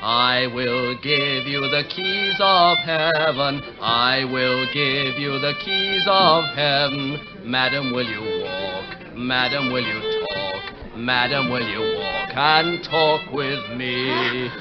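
An actor playing a young gentleman in a period radio play, mocking and gallant, with flowery, courtly speech. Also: mid-range voice, takes it slowly, few pauses, natural voice. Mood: gleeful. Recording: muffled radio drama recording, fiddle music behind.

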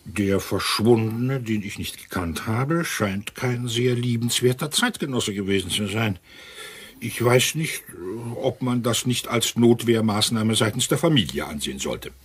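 An actor playing a military man turned amateur sleuth in a radio crime play, very husky, reasoning emphatically and in order. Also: lowish voice, animated, unhurried. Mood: doubtful, weighing.

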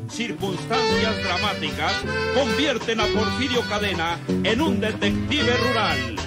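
A man's voice: sits high, animated, a little slow, loud music behind the voice. A man announcing a radio drama series in an emphatic, declamatory style.

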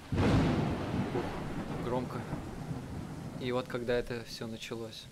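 A man reading out a live translation of a visual novel in a halting, self-correcting way. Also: mid-range voice, monotone, very noisy. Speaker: let's player reading out translations. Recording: music behind the voice. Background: game music.

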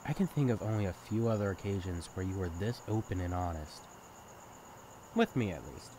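A man's voice: low-pitched, expressive, normal speed, noisy background. A young man acting in an intimate audio roleplay as a sibling's best friend, talking candidly and casually in a caring, teasing way. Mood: sincere, thoughtful. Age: young adult. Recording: night ambience with chirping crickets. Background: crickets and insects, outdoor ambience.

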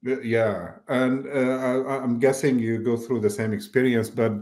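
Mellow-voiced man, thoughtful with many fillers. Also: medium pitch, steady tone, unhurried. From Lebanon. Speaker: mortgage business owner, guest. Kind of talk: casual interview over video call. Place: indoor office. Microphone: video call webcam mic.

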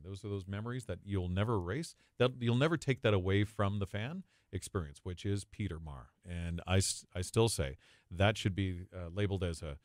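A man's voice: on the low side, no room sound, studio quality. A man, a guest who works as an arena announcer, talking in an effusive, emphatic way.

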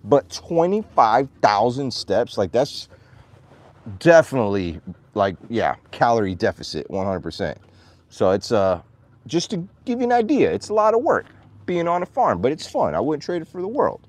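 Animated chatty man with a lilt. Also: medium pitch, moderate pace. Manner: chatty, animated, coos at his dogs. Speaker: vlogger. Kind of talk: casual vlog chat. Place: outdoors on a farm. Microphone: phone at arm's length.

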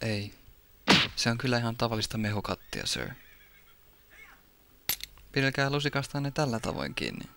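A man's voice: medium pitch, big pitch swings, gravelly, pauses often. A man playing a suave, sarcastic young cook with mock-polite theatrical manners in an anime parody dub. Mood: dry politeness. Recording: loud music behind the voice.

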